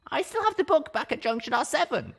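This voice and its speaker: A woman playing an earnest, careful young unicorn mare in an audiobook, with a husky, creaky voice. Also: high-pitched, sing-song, fast, full character voice. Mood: careful, hopeful.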